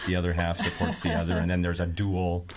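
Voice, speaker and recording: A man's voice: low-pitched, completely flat delivery, fast. A middle-aged man, an actor, chatting casually as a guest in a radio studio. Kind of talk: casual chat. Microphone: studio microphone on boom arm.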